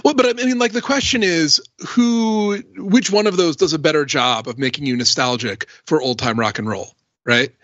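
A man's voice: a little high, moderate pace, a bit bright, dry recording, lo-fi sound. A man on a podcast panel, making his points in casual analytical conversation.

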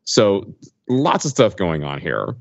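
A man talking casually as a podcast panelist, explaining his points in an analytical, halting way. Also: sits low, wildly swooping pitch, gravelly, dry recording, lo-fi sound.

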